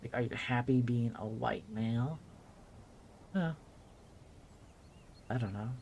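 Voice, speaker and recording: A man's voice: mid-range voice, slow, vocal fry, a little mellow. A man giving casual playthrough commentary as a gaming host, in wry, muttered asides. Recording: faint noise, game audio behind.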